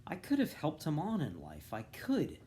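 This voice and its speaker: A man giving an expressive, animated reading of a novel, acting out the dialogue. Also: a little high, moderate pace, a little hiss or hum. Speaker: volunteer reader. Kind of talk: expressive literary audiobook-style reading.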